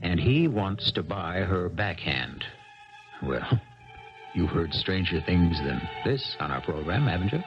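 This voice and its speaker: A man introducing a radio drama in a very deep, gravelly voice that rises and falls with lively pitch. He narrates in a measured, wry way, heard through a dull, lo-fi 1970s broadcast with music underneath. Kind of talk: scripted narration.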